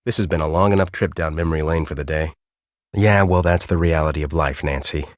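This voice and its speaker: A man's voice: extremely low pitch, brisk, dry recording, studio quality. An actor playing a bitter, arrogant middle-aged male scientist in a video game, with a sardonic, condescending, theatrical way of talking. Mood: weary, cynical. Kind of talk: video game character acting.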